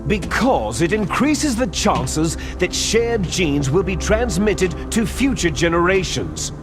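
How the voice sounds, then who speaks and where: An actor playing a cold, scheming male villain who lectures slowly and menacingly in a video game cutscene. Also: sits high, big pitch swings, exaggerated voice. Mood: lecturing. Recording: game audio with music behind.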